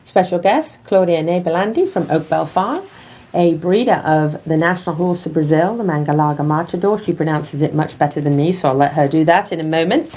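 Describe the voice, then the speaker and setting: A woman's voice: deep voice, warm tone. Upbeat British woman hosting a talk show. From Britain. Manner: upbeat, quick sponsor reads. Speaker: host. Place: studio.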